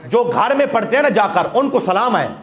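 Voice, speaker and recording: A man's voice: high-pitched, big pitch swings, moderate pace, fairly bright tone. A male preacher with a sore throat, giving an impassioned sermon that breaks into recited verse. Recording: echoey hall.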